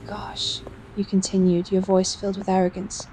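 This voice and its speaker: Woman narrating a roleplay story, soft dark tone, pretty flat, slight background noise. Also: mid-range voice, moderate pace, low-quality recording. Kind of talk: scripted second-person story narration.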